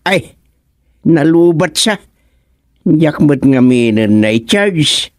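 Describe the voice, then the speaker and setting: A man with an Ilocano accent acting an easygoing, free-spending village councilor in a comedy radio drama, with carefree, drawn-out talk. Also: a little high, expressive, no room sound, studio quality. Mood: jolly, boastful.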